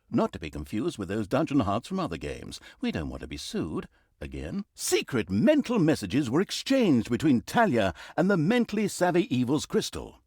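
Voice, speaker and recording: Snarky pompous male game narrator, super animated swoopy delivery, really dry close studio sound. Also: a little high, slightly rough, no room sound, heavily acted. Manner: sardonic, pompous, mock-grandiose storytelling with wry asides. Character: snarky, self-aware male storyteller of an evil fantasy saga. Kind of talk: theatrical comedic fantasy game narration.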